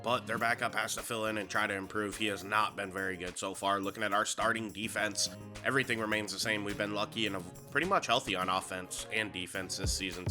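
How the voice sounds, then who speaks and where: A man, sick and a bit nasal, giving excitable play-by-play commentary over a football video game. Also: fairly low pitch. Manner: excitable, rising to shouts on big plays. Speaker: gaming YouTuber.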